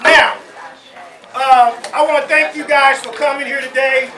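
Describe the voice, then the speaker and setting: A man hosting a live stage event, addressing the audience in a loud, exclamatory, rallying manner. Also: extremely high pitch, fairly even intonation, a little slow, noisy background. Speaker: event host. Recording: echoey, distant live event with crowd.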